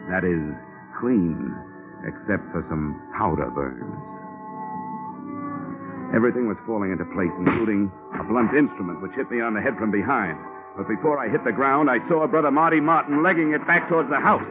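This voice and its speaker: An actor playing a hardboiled, wisecracking insurance investigator, narrating in clipped, wry old-time radio detective style. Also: male voice, lowish voice, normal speed, lightly acted. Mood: wry, brooding. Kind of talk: 1950s radio detective acting, first-person narration. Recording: old phone-like radio recording with music behind.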